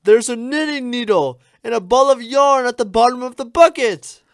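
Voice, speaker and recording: A man's voice: very high-pitched, moderate pace, dry recording, clean studio sound. A man voicing an easygoing, greedy chicken in a radio comedy sketch, comically earnest and given to exclaiming.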